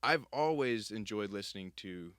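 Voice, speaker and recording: A man with a crisp, bright voice at an average pitch, hosting a casual interview. His delivery is informal and a bit halting, captured with a dry, close sound. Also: animated, dry recording. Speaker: podcast host.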